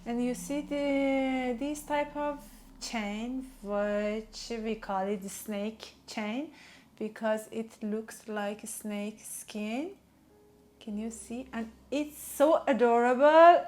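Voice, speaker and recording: Slow, enthusiastic woman with a Persian accent. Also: on the high side. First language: Farsi. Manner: enthusiastic, gushing, non-native phrasing. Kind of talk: casual product showcase.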